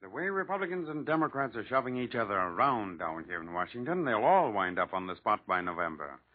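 A man's voice: fairly low pitch, big pitch swings, brisk. An American man reporting political news in 1940s radio style, colloquial and wry, on a tinny old recording.

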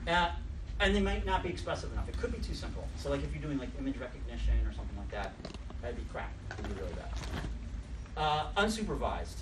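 Blunt New York man lecturing. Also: fairly high pitch. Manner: blunt, joking, self-deprecating, gestures broadly. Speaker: speaker at a conference session. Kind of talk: casual live lecture. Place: conference room with a projector, audience seated. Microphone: camera at the back of the room, no mic. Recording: roomy, distant, noisy room recording.

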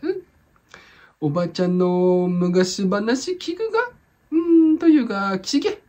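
A woman's voice: medium pitch, slow, dark tone. A woman voicing a chatty old auntie from the Tsugaru countryside who is secretly a cyber police investigator, speaking in thick Tsugaru dialect with a folksy, rambling storyteller manner. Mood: reminiscing. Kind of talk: comedic voice drama.

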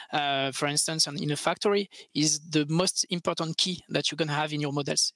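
Male panelist, French accent, fairly high sharp voice, emphatic and repetitive, expert delivery. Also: no echo. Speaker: panelist, tech research expert. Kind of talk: panel discussion answer. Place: open-plan office, indoors. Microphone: headset boom mic.

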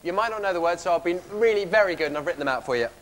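A man's voice: high voice, animated, racing. A young man playing a chirpy, eager servant in pantomime, bantering with the audience from a theatre stage. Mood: cheery, coaxing. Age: young adult. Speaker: pantomime comic leading audience singalong. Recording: reverberant theatre hall recording with music.

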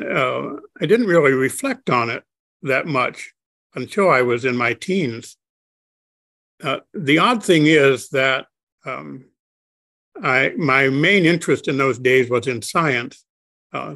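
An older man speaking slowly and haltingly, with many pauses and restarts, as an interview guest on a video call from his home study. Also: mid-range voice, vocal fry, sharp tone, no room sound. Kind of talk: reflective conversation.

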